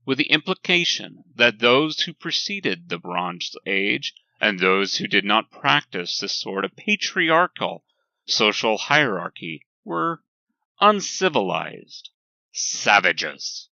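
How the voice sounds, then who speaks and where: Male sermon reader, slow and emphatic, deliberate pauses, very crisp forceful tone, dry sound. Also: fairly low pitch, big pitch swings, dry recording, highs cut off. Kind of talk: scripted lecture-like sermon reading.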